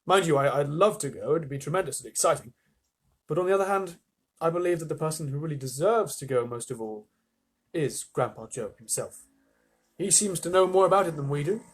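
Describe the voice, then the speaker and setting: A storyteller voicing a gentle, mild father who speaks softly and hesitantly, with a husky tone. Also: medium pitch, animated, a little fast.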